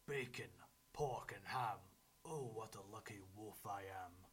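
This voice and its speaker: Storybook villain: man playing a gloating, greedy wolf, forceful and unhurried, relishing every boast. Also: on the low side, a little hiss or hum. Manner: boastful, emphatic exclamations. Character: greedy, gloating big bad wolf, male. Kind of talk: storybook verse reading.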